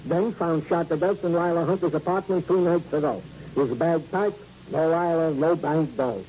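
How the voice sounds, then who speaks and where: Comic sidekick cop played by a man, American, fussy and officious, higher voice, old noisy radio drama. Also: normal speed, lightly acted. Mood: officious, businesslike. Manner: pompous, mock-formal, officious. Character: fussy, pompous, comic male police sergeant sidekick. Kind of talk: 1950s radio drama acting. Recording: dull, noisy vintage radio recording.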